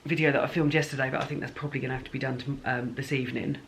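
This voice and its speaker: A woman's voice: rumbling low, steady tone, rapid-fire, gravelly, slight background noise. A woman chatting casually in a home vlog diary about her plans and reading, rambling and correcting herself as she goes. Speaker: book vlogger.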